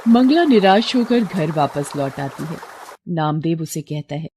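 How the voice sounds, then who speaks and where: A woman's voice: low-pitched, expressive, a little slow, dark tone, slight background noise. A woman narrating a cartoon moral story in a dramatic, emphatic way.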